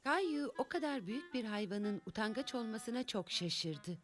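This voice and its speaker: A woman narrating a preschool cartoon as a gentle storyteller reading scripted lines for young children. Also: on the high side, expressive, normal speed, lightly acted. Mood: gentle, storytelling.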